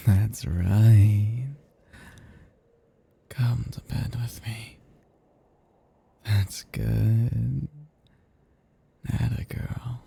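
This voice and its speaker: Man acting a gravelly, seductive vampire. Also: on the low side, fairly even intonation, dark tone, heavily acted. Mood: seductive, intimate. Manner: slow, intimate, breathy murmuring that drops into whispers. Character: seductive, melancholy, possessive male vampire, self-loathing immortal predator. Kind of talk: ASMR roleplay.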